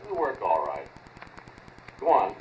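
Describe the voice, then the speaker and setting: A man's voice: fairly low pitch, wildly swooping pitch, a little slow. A male actor playing an impatient, bossy inventor in terse 1940s radio drama style. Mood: brusque. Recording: dull, noisy 1940s radio recording.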